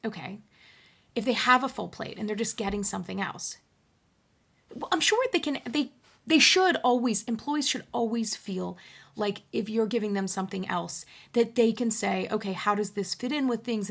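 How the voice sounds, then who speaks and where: A woman's voice: on the high side, a bit bright. A woman speaking as an HR coach on one side of a roleplayed call, conversational and reassuring, with emphatic asides. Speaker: HR coach, show host.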